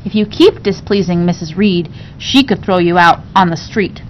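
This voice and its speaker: A woman's voice: moderate pace, close to an everyday voice. A woman reading a storybook, voicing a female servant who reproaches and advises a child. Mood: kindly admonishing. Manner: admonishing. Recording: dull recording with low rumbling noise.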